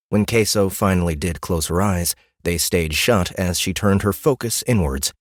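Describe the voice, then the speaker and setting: A man's voice: sits low, dry recording, clean studio sound. A man narrating a fantasy audiobook in a scripted storytelling style.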